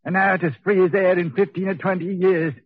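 Husky, high-voiced Cockney convict. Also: male voice, fairly even intonation, a little fast, no echo. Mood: mocking, curious. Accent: Cockney English. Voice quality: husky, rough. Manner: slangy, chatty, needling. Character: coarse, hot-tempered, sickly working-class male convict. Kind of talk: 1940s radio acting. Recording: muffled phone-like 1940s radio recording.

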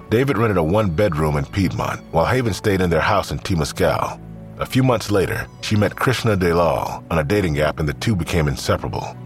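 Very deep-voiced male drama narrator. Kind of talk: scripted audio drama narration.

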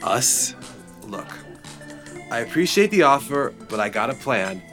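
An actress playing a grumpy, reluctant friend in an audio buddy comedy, exasperated, blunt and defensive. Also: female voice, very deep voice, big pitch swings, unhurried, faint music behind, heavily acted. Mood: weary, stubborn. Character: grumpy, reluctant, put-upon friend resisting a scheme.

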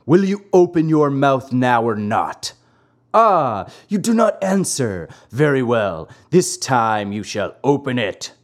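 A man's voice: mid-range voice, sing-song, takes it slowly. A man voicing a storybook assassin with a growling, threatening and taunting manner. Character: menacing, mocking male assassin.

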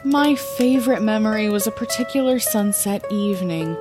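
A woman playing an earnest young female bard, telling her own story in an expressive, lyrical fantasy audio drama narration. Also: medium pitch, moderate pace, over music, close to an everyday voice. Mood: nostalgic, tender.

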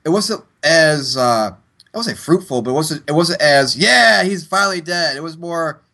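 A man's voice: a little high, lively intonation, normal speed, gravelly, pushed and bright. A man with a stuffy nose co-hosting a casual movie review chat, talking hesitantly with restarts and sighs. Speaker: podcast co-host.

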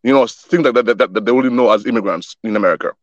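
A man's voice: mid-range voice, very fast, no room sound, highs cut off. A young man who was born in Wisconsin and grew up in Tanzania, a guest chatting casually about the youth soccer group he started, often repeating words as he thinks. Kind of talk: casual interview chat.

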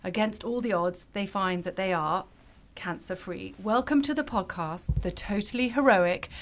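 A woman's voice: mid-range voice, moderate pace, a little hiss or hum. A middle-aged woman co-hosting a podcast, chatty and self-deprecating, giggling often. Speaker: podcast co-host. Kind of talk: casual chat.

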